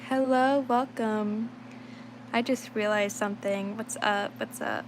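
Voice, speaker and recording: A young woman talks through her drawing on a livestream, rambling and trailing off with lots of fillers. She is under the weather, so a slight rasp sits in her mid-range voice. A faint hiss of noise runs behind her. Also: medium pitch, steady tone, a little slow. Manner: rambling, thinks aloud, many fillers. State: sick, a little raspy. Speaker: livestreaming digital artist. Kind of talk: casual chat while drawing on stream.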